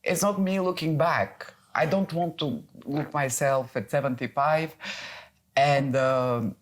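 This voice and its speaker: A woman's voice: low voice, takes it slowly. Reflective woman in her fifties. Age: 50s. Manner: reflective, searching for words. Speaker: podcast co-host. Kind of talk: casual conversation.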